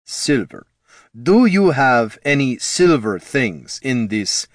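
A man voicing a huge, menacing, formal man with a growling voice and a thick Russian-like accent, speaking slowly in broken grammar. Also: medium pitch, big pitch swings, no echo, clean studio sound, pitched above the performer's own voice. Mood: slow, looming. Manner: slow, heavy, broken grammar.

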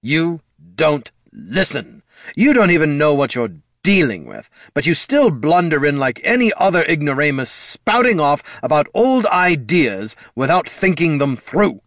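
A haughty undead wizard, performed by a male narrator, explains himself in pedantic, self-justifying phrases. His delivery swings widely in pitch, as an aggrieved fantasy storybook villain, in a dry recording. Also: sing-song, a little slow, a bit bright, no echo. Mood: offended, scolding.

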